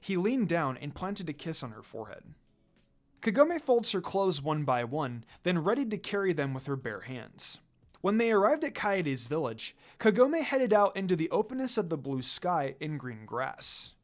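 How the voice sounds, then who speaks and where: A man reading a fan fiction story aloud in an expressive narrating style. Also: on the high side, moderate pace. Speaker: fan fiction reader, channel host. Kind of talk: expressive scripted story narration with casual asides. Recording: music under the voice. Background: music.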